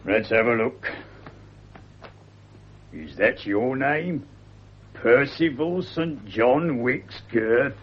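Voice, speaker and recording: Suspicious working-class British cafe man, gruff and curt, dull hissy vintage radio sound. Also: medium pitch, lively intonation, extremely slow, long pauses. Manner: blunt, grudging, colloquial. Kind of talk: 1950s radio drama acting. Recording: dull, noisy 1950s radio recording.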